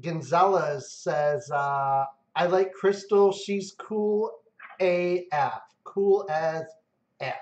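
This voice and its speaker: A man's voice: fairly high pitch, very slow, darkish tone. A man from Ohio hosting a casual live stream, joking around and reading viewer comments aloud. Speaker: livestream host. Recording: echoey room, dull lo-fi sound.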